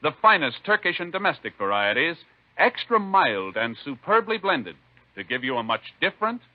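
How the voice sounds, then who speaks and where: A man's voice: on the high side, big pitch swings, normal speed, no room sound. A man announcing in polished, emphatic, scripted 1950s radio style. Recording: dry, dull 1950s radio recording.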